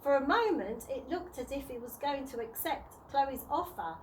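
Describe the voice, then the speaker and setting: High-voiced woman reading a children's story. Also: animated, slight background noise. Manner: deliberate, stumbling over words and rereading. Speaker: early years practitioner reading to children. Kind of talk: read-aloud children's storybook narration.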